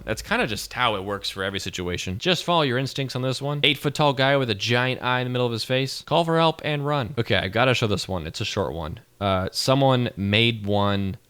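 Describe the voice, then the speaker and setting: Casual guy reacting to videos, kinda low voice, wry, talks like he's chatting with friends. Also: fairly bright tone. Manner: wry, colloquial. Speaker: host, reaction video creator.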